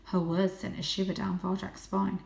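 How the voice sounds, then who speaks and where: A woman's voice: mid-range voice, flat delivery, normal speed, creaky, darkish tone. Woman reading a children's story, echoey room. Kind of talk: children's audiobook narration. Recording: roomy, echoing indoor sound.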